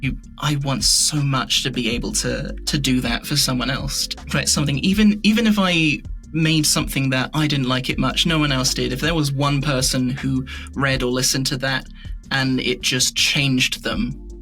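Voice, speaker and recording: Male podcast guest, very bright voice, rambling, expressive and self-deprecating, music underneath. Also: medium pitch, moderate pace. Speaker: podcast guest, audio drama creator and voice performer. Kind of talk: casual interview chat.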